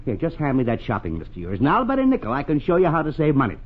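Blustery penny-pinching American father, 1940s radio comedy; highly animated delivery, thin tinny broadcast sound. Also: racing, slightly rough, full character voice. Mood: smug, insistent. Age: middle-aged. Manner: blustering, pompous, sputtering. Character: blustery, exasperated, penny-pinching middle-aged suburban father.

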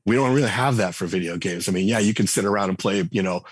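Guest: deep-voiced, slightly husky man in his 40s; chatty and meandering, dry close mic. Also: fast talker, dry recording. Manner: rambling, many restarts and fillers. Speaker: game writer and designer guest. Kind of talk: conversational podcast interview.

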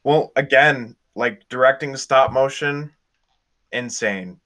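A man's voice: mid-range voice, slow pace, fairly bright tone. A young man co-hosting a podcast, talking casually in a group review chat. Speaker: podcast co-host.

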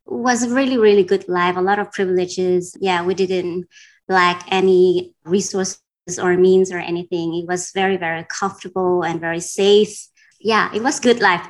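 A woman from Denmark speaking as an interview guest, casual and reflective, often pausing with "you know". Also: lowish voice.

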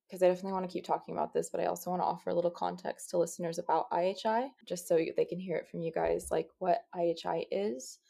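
A woman hosting a podcast interview, agreeable and pausing to search for the right words. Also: fairly low pitch, gravelly, mellow. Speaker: podcast host.

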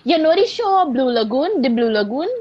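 A Trinidadian woman telling stories with bursts of exclamation. Her voice sits a little high. The sound is dull and lo-fi. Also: moderate pace, no echo. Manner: animated, exclamatory storytelling. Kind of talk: casual chat.

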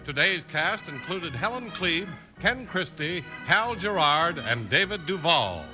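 Old timey radio announcer guy, high punchy voice, swingy salesman delivery, little music. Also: sing-song, measured pace, rough recording. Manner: polished, emphatic salesman. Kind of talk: 1950s radio announcing, scripted ad reads. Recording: old radio broadcast recording.

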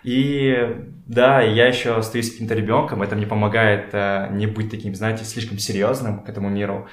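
A man's voice: lowish voice, flat delivery, moderate pace. A man in his twenties chatting casually and informally, using slang, as a guest on a podcast. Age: 20s. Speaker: podcast guest, photographer and art director. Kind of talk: casual conversation. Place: room in a home. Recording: roomy home recording.

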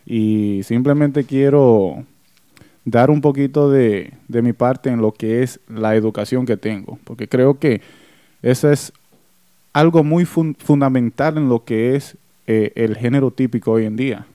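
Friendly host, dry sound. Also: male voice, mid-range voice, dark tone, clear, resonant tone, no echo. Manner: friendly, earnest, deliberate. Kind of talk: interview hosting.

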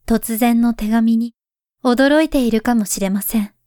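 A woman voicing a grown woman who writes to her past self, speaking softly and gravely, often near a whisper. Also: fairly high pitch, measured pace, dry recording, clean studio sound, close to an everyday voice. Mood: earnest. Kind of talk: voice drama letter monologue.

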